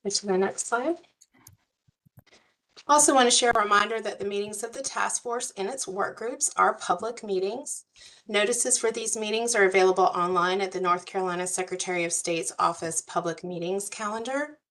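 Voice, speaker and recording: A woman, hoarse from a cold, facilitating an online work group meeting in a friendly, procedural way. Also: mid-range voice, normal speed, fairly bright tone, low-quality recording. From US South. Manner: friendly, procedural, uses y'all. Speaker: meeting facilitator, policy advisor. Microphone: video call.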